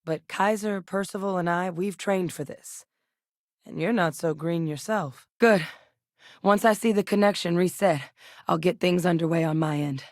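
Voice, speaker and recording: An actress voicing a seasoned, determined female military xenobiologist in a video game, speaking in a measured, earnest, matter-of-fact way. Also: on the low side, no echo, studio quality, close to an everyday voice. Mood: grave, focused. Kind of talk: scripted game dialogue.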